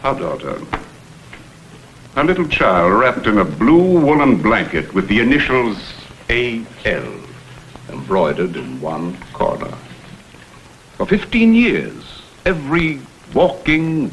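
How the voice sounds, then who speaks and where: An actor playing a smooth, insinuating blackmailer who poses as a humble go-between, with florid, theatrical phrasing, in a vintage crime drama. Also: male voice, mid-range voice, huge pitch swings, unhurried, fairly bright tone, full character voice, poor audio. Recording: vintage TV soundtrack, faint noise.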